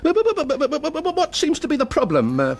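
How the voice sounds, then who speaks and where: A man's voice: extremely high pitch, huge pitch swings, fast talker, exaggerated voice. An actor playing a grandiose detective inspector who is down on his luck, pompous and wheedling, in a radio comedy drama. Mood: excited, self-important. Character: grandiose, broke art-fraud detective inspector boss.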